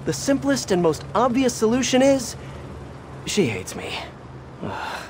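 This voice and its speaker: High, animated young wisecracking superhero. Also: male voice, measured pace, background noise, full character voice. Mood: musing, exasperated. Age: young adult. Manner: chatty, quippy. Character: young wisecracking superhero, upbeat and self-deprecating. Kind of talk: video game dialogue.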